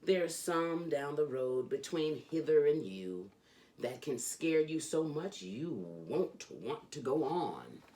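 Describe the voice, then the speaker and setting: A woman reading a children's storybook aloud as host, with theatrical, emphatic delivery and drawn-out phrases. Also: low voice, big pitch swings, warm tone, faint music behind.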